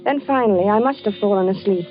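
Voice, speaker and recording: A woman's voice: medium pitch, quick pace, lightly acted. Earnest, frightened wife-narrator, played by a woman; muffled vintage radio sound with music underneath. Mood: somber. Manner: earnest, anxious storytelling. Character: frightened wife narrating her husband's death. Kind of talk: 1940s radio acting.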